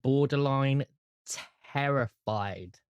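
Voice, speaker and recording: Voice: medium pitch, drawn-out words, clean tone, no echo, highs cut off. A game master narrating a tabletop role-playing game and chatting with the players.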